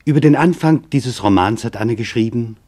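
An actor playing a grave, measured chronicler, narrating a German radio drama in a solemn, deliberate way. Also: male voice, medium pitch, expressive, warm tone, close to an everyday voice. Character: grave, measured male chronicler reporting witness testimony.

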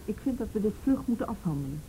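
An actress playing a calm, reassuring official in a radio play, gentle and practical. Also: female voice, medium pitch, fast talker, natural voice. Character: calm, reassuring woman official looking after a victim. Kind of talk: radio drama acting. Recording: noisy old radio play recording.

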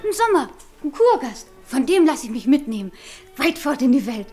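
Giddy snobby young innkeeper's daughter, really high girlish voice, radio drama, music behind. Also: very animated, moderate pace, a little mellow. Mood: dreamy defiance. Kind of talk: German radio play acting.

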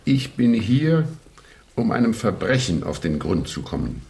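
A determined, jovial police chief in a German radio drama. His low voice creaks with gravel and rises and falls widely. He is blunt and hearty. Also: sing-song, unhurried, darkish tone, heavily acted. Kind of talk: 1970s German radio crime drama acting.

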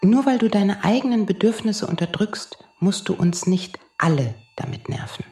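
A woman playing a flirtatious, sharp-tongued space traveller in an audio drama, teasing and suggestive in manner. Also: medium pitch, normal speed, soft background music, close to an everyday voice. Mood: snappy. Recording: roomy echo.

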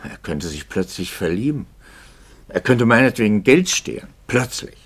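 Troubled priest, low husky voice, intense and searching, brooding delivery, 1950s radio play. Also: male voice, sing-song, moderate pace. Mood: agitated. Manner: brooding, intense, often dropping to near whisper.

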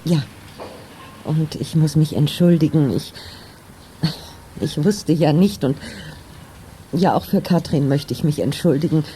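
Timid old grandmother, apologetic, devout, hesitant, very deep for a woman, soft dark tone, radio play. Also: a little hiss or hum, low-quality recording. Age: older. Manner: hesitant, halting, humble. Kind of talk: German children's radio play acting.

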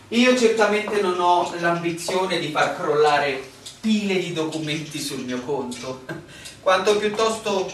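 An actor playing a stubborn land surveyor in a radio drama, politely challenging officials with irony. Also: male voice, high-pitched, lively intonation, slow pace, fairly bright tone, heavily acted. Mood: defiant, probing. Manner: polite but challenging, ironic. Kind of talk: theatrical radio drama dialogue. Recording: roomy, distant, faint background noise.

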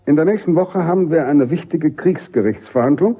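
An actor playing a middle-aged American army general in a German radio drama, jovial, authoritative and emphatic. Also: male voice, a little high, expressive, a little fast, slightly rough, phone-quality sound. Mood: persuasive, brisk. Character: commanding American army general, genial but insistent.

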